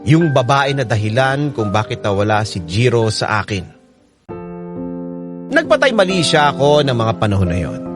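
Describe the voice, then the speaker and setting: Guy acting wounded brooding inner monologue, radio drama, very expressive pitch, piano behind. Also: normal speed, close to an everyday voice. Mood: brooding, resentful. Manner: brooding, sorrowful. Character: wounded, resentful man narrating his inner thoughts.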